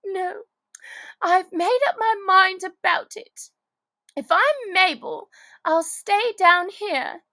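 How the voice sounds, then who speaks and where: A woman's voice: very high-pitched, slow, sharp tone, dry recording, voice pushed up, full character voice. A woman voicing a distressed young girl in a children's storybook, with an exaggerated, plaintive delivery. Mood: stubborn, indignant. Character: Alice, distressed young girl, dramatic and tearful. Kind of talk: children's storybook character acting.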